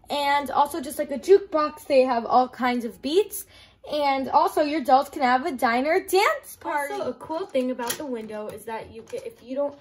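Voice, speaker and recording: A young woman giving a casual, enthusiastic toy review in simple words, with plenty of "like" as filler. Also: high voice. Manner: enthusiastic, simple explaining with frequent "like" and "I love". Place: small room at home.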